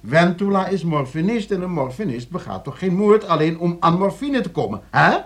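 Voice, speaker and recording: An actor in a radio play playing a smug, cold-blooded schemer who explains things calmly and tauntingly to friends. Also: male voice, high-pitched, moderate pace.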